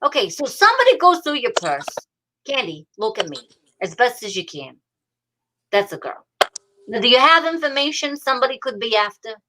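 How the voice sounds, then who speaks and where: A woman playing a gruff but fond, exasperated police detective in an old-time radio drama, hardboiled and teasing. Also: a little high, big pitch swings, normal speed, background noise. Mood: wry questioning.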